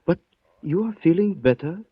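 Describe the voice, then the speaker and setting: A muffled vintage radio drama carries a courteous Chinese man. His voice sits a little high and very dry, and he picks his formal words with grave, unhurried care. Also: lively intonation, breathy edge, no echo. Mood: polite, grave. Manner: formal, measured, avoids contractions. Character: courteous, calm Chinese man on a long hunt. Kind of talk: 1940s radio acting.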